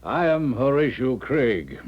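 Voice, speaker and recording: An actor playing an insistent, stuffy middle-aged father in a 1970s radio drama, formal and pressing with hesitant pauses. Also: medium pitch, very animated, husky, heavily acted. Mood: polite, uneasy. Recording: dull 1970s radio broadcast recording.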